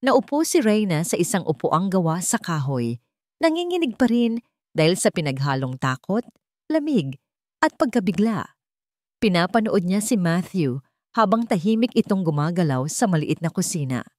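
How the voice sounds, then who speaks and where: A Filipino woman reads a scripted romantic drama as narrator. Her voice sits fairly low for a woman and moves through wide swings of pitch. The sound is dry and close, with very little room. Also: very animated, moderate pace, a little mellow, no echo. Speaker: story narrator.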